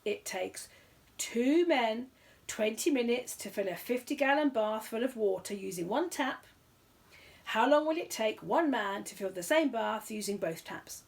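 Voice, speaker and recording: A self-important male quiz master from a children's story, played by a woman reader. He speaks stiffly and solemnly, condescends to contestants and gives ground grudgingly, with a creaky edge to the voice. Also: on the high side, expressive, measured pace. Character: pompous, grudging male TV quiz show host.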